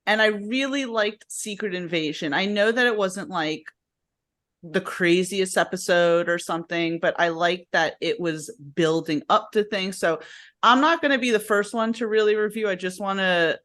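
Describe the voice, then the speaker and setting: A woman hosting a casual review chat, chatty and quick to laugh. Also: medium pitch, fairly bright tone. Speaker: host.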